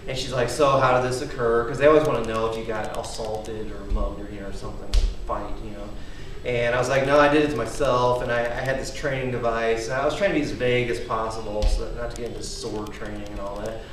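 A man's voice: mid-range voice, fairly even intonation, fast, a little hiss or hum. A man in his thirties, casually rambling as he demonstrates homemade gym gear and tells a story, often checking in with "okay?". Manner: rambling, chatty, keeps asking "okay?". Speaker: home fitness vlogger. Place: large wood-paneled garage at night. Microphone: camera mic from across the room. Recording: echoey garage, voice from far off.

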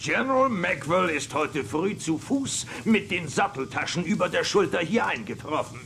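An actor playing a blustering, self-important army general who barks orders in a western children's radio play. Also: male voice, high voice, expressive, normal speed, creaky, a bit bright, slight background noise, full character voice. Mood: smug, teasing. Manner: pompous, barking orders.

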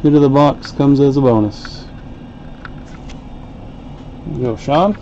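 A man hosting a live card break, calling out each card in an unhurried, chatty way. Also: medium pitch, dark tone, lo-fi sound, a little hiss or hum. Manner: unhurried, chatty play-by-play while opening packs. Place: home card-break room, indoors.

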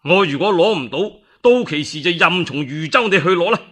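A man voicing a proud, boastful young commander in Cantonese, with a forceful, declamatory manner. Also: fairly high pitch, animated, a little fast. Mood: boastful, confident.

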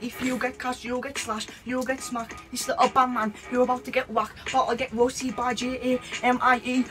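A teenage boy rapping threats in a played clip, quiet and distant. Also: extremely high pitch, moderate pace, over music. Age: teens. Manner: monotone threatening rap. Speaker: rapper in a played diss video. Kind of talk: diss rap.

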